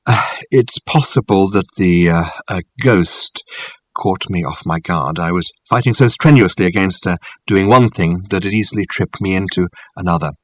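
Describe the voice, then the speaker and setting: A man voicing an eccentric, amiable elderly academic in an audiobook, rambling and halting with many hesitant ums. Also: deep voice. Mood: hesitant, reflective. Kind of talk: audiobook character acting.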